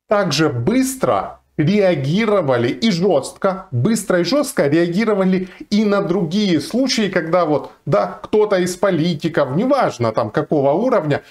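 A man's voice: high voice, darkish tone. A man in his forties, a lawyer from Ukraine, giving earnest, emphatic commentary to camera while seated in a small room, arguing his point with frequent fillers. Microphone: fixed camera, seated facing it.

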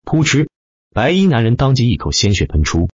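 A man's voice: medium pitch, big pitch swings, fairly quick, darkish tone, husky, no room sound, dull audio. A man reading scripted fantasy fiction as a dramatic audiobook narrator.

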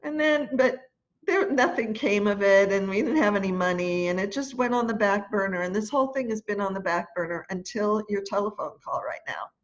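A woman in her sixties, a choir leader, telling a story in an animated, chatty way during a lesson on a video call from home. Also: medium pitch, brisk. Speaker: choir leader and music teacher. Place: room at home, indoors. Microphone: laptop webcam on a video call. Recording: dull lo-fi video call audio.

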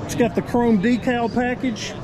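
A man's voice: very high voice, level tone, moderate pace. A man giving a casual walkthrough commentary as a boat show vlogger, listing features in short admiring phrases. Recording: busy crowded expo hall noise. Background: crowd chatter at a boat expo.

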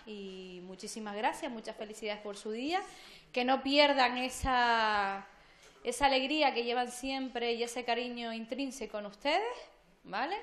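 A woman's voice: a little high, fairly bright tone. A middle-aged woman giving an informal speech to an audience. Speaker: speaker at a community event. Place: medium-sized indoor hall. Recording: echoey room, audience sounds.

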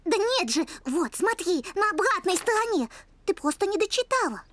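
A woman voicing an earnest young girl dog in a children's cartoon, expressive and pleading. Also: very high voice, moderate pace, a little hiss or hum, full character voice. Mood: pleading, guilty. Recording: music underneath.

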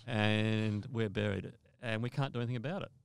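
Older male podcast guest, low and resonant, conversational storyteller who rephrases as he goes. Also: steady tone, fairly quick, gravelly, clean tone, dry recording. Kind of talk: conversational first-person storytelling.

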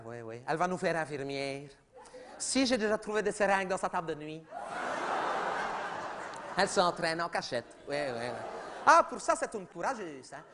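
A man's voice: on the high side, fairly bright tone, a little hiss or hum. A man voicing a chatty, oblivious middle-aged mother, rambling and gossipy in a comedy sketch. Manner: rambling, gossipy, repeats "oui, oui", talks over others.

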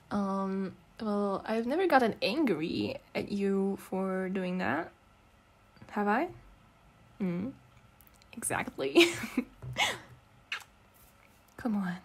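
A woman acts out an affectionate, giggly girlfriend in an intimate ASMR roleplay. Her voice is soft and breathy, swinging widely in pitch as she teases and comforts at an unhurried pace. She is a non-native English speaker who rambles fondly with pet names. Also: expressive, full character voice. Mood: fond, teasing. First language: not English. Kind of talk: intimate girlfriend roleplay ASMR, casual improvised-sounding chat.

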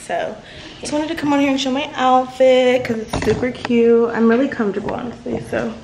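A woman's voice: fairly high pitch, flat delivery, brisk, gravelly, echoey room, noisy background. A young American woman talking casually to her phone camera in a vacation house, chatty and swearing freely, with lots of "like.". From United States. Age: young adult. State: tired. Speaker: travel vlogger. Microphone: phone camera at arm's length.

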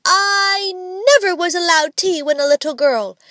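A woman's voice: very high-pitched, a little slow, sharp tone, no room sound, studio quality, pitched above the performer's own voice, heavily acted. A woman voicing a bossy little girl who plays the strict mother, prim and grave in her emphatic lecturing. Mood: grave, scolding.

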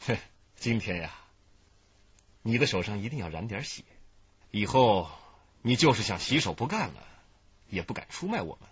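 An actor playing a ruthless, commanding gang boss in a radio crime drama, coarse and coldly mocking, with a husky voice. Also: male voice, on the low side, very animated, moderate pace, long pauses, no room sound, highs cut off, exaggerated voice. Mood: cold, menacing. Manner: commanding, coarse, falsely reassuring.

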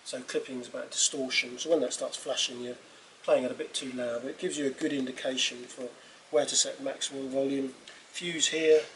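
A middle-aged man talks casually through a product demonstration. His delivery is offhand and halting, at an ordinary pitch. The recording has clearly audible background noise. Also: fairly even intonation, a bit bright, poor audio. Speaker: presenter demonstrating portable PA equipment. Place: small wooden cabin with doors open. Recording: clear background noise, open-room ambience.

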